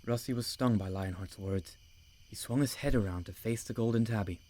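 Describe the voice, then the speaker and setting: Male narrator for an animal adventure audiobook, fairly low pitch, scripted storytelling read. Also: brisk, warm tone.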